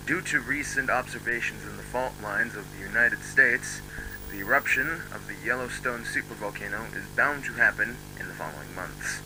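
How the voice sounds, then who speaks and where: A man playing a grave, formal emergency broadcast announcer, reading solemnly and deliberately from an analog horror script. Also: lowish voice, noisy background, natural voice. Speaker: emergency alert narrator.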